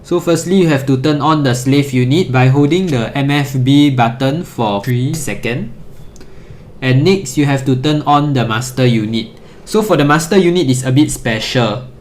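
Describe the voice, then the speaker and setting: Guy doing a how-to walkthrough, methodical, mid-pitched voice, some background noise. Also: normal speed, darkish tone. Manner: methodical, instructional. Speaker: tutorial presenter. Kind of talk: step-by-step instructional narration.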